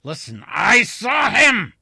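A man's voice: very high voice, huge pitch swings, slow pace, creaky, pushed and bright, breathy edge, no room sound, voice pushed up, full character voice. A man voicing a panicked, agitated male airplane passenger with a shaky voice, stammering and urgent as in a suspense story. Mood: desperate insistence. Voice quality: shaking, breaking voice.

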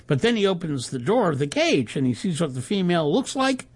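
Man playing rambling, high-pitched jovial reporter telling jokes. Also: big pitch swings, husky. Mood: amused, rambling. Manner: halting, rambling raconteur with asides. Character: jovial wire-service reporter spinning a barroom joke.

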